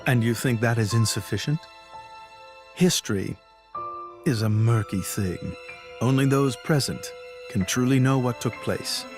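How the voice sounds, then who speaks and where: An actor playing an elderly, grave religious leader in a video game, speaking in a formal, deliberate way. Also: male voice, mid-range voice, close to an everyday voice. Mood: calm, guarded. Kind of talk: dramatic video game voice acting. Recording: loud orchestral music behind.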